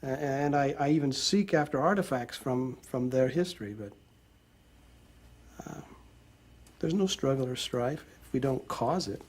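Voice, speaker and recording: A man speaking earnestly in a group discussion, halting and restarting often. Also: medium pitch, animated, a little mellow.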